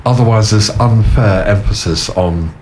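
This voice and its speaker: Rambling radio host, low voice. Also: male voice, a little slow, a little mellow, slight background noise. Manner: rambling, punning, chuckly. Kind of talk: casual on-air chat. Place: venue radio studio. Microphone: omnidirectional studio mics.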